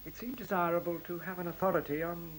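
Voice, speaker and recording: A man's voice: sits high, fairly even intonation, quick pace, a bit bright, a little hiss or hum, low-quality recording. A man playing a polite, hesitant, officious director of a secretive computer plant in a radio play.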